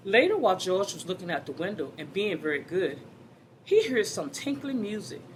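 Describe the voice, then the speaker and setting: A woman's voice: medium pitch, very animated, moderate pace, slight background noise, low-quality recording. A woman reading a children's story aloud, expressively, addressing her young listeners directly.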